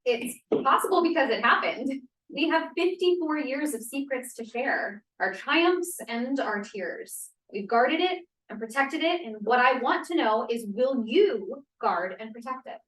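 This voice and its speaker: A woman performs a spirited, challenging feminist guarding her society's secrets. Her fairly high voice moves animatedly up and down. It is a table read picked up at a distance in an echoey room. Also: lively intonation, a little slow, a bit bright. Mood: solemn, challenging. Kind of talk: table read of a play script.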